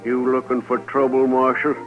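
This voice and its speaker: A man's voice: medium pitch, completely flat delivery, moderate pace, soft background music, lightly acted. An actor playing a defiant, hard-drinking American gunman in an old radio western, with a sneering, blustering manner. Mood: wary, defensive. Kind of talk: 1950s radio western acting. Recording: muffled old radio recording.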